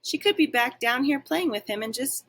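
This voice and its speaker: A woman's voice: high voice, animated, moderate pace, pitched above the performer's own voice, exaggerated voice. Woman voicing a kindly, lilting female dog. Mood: encouraging. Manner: gentle, friendly.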